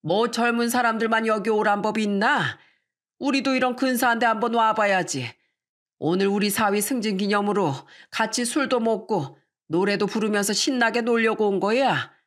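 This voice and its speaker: A woman's voice: medium pitch, moderate pace, pitched above the performer's own voice, natural voice. Woman voicing a folksy, doting old father-in-law. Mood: jovial. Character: doting, sentimental older father-in-law around seventy. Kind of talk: scripted story dialogue.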